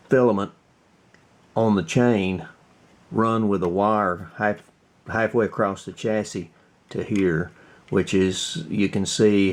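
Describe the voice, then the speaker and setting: A man giving a casual hands-on workbench walkthrough, halting and thinking aloud as he explains. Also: on the low side. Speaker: hobbyist radio restorer presenting his repair.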